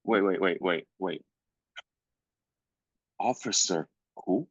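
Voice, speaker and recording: Guy acting as a grieving dad whos furious, raspy edge, dry sound, keeps repeating stuff in disbelief. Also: low-pitched, slow, no room sound, dull audio, full character voice. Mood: accusing, incredulous. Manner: incredulous, emphatic, repeats phrases for weight. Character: grieving, furious father of a killed son. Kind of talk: live dramatic script reading.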